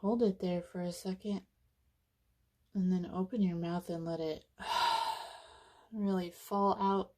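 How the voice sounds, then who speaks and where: A woman leading a guided meditation in an informal, conversational way, with soothing, casual phrasing and frequent pauses. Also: on the low side, fairly even intonation, dark tone.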